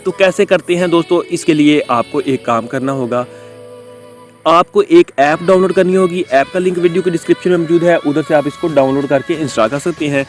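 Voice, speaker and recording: A man's voice: a little high, brisk, faint music behind. A man presenting a casual how-to tutorial, often addressing his viewers as friends. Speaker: host of a tech tutorial channel.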